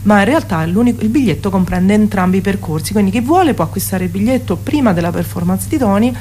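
A woman's voice: mid-range voice, expressive, fast, dark tone, a little hiss or hum. A woman speaking as a musician guest in a casual radio interview, hesitant and often correcting herself.

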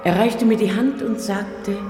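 A woman's voice: mid-range voice, steady tone, a little slow, natural voice. An actress playing a nostalgic woman lost in memories in a radio play, in a hall with music. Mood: reminiscing.

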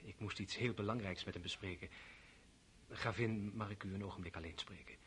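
A man's voice: lowish voice, a little fast, a bit bright, slight background noise, close to an everyday voice. An actor playing an earnest, formal young nobleman asking for a girl's hand in a radio play.

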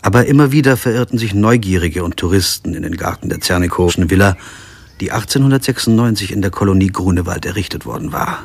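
A man's voice: low-pitched, fast talker, gravelly, slightly rough, exaggerated voice. An actor playing a sober, observant lawyer who narrates his own story in a radio crime drama. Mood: sober, reflective. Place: studio.

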